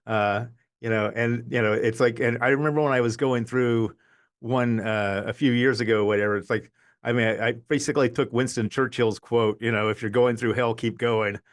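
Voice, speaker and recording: A man's voice: medium pitch, fairly even intonation, moderate pace. Rambling podcast host, casual man. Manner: rambling, lots of fillers and restarts. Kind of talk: casual interview chat.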